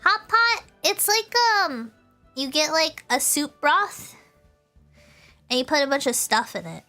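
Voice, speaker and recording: A woman's voice: high-pitched, big pitch swings, unhurried, bright, forceful tone, faint music behind. A woman livestreamer chatting casually to her viewers in a bubbly, giggly way, often repeating her phrases. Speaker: VTuber livestreamer.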